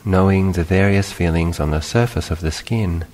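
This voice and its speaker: Male meditation teacher, extremely low voice, calm guided delivery with long pauses, heavy reverberant hall sound.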